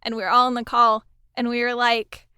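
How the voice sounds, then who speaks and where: A woman's voice: a little high, level tone, a little fast, bright, forceful tone, clear, resonant tone, dry recording, studio quality. A woman interviewing a fellow producer in a casual, friendly way, reminiscing about the work they shared.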